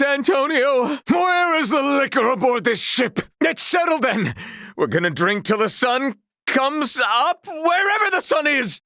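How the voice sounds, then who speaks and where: A man's voice: high-pitched, pushed and bright, dry recording, clean studio sound, full character voice. A man playing a noble, confident knight officer in a video game, speaking in formal, old-fashioned courtly phrases with good humor. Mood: boisterous, loud. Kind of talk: video game character dialogue.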